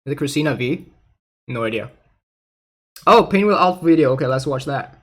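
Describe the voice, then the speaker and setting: Casual, amused game streamer, medium pitch. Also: lively intonation, moderate pace. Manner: amused, informal. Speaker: streamer reacting to game voice clips. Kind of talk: casual livestream reaction chat.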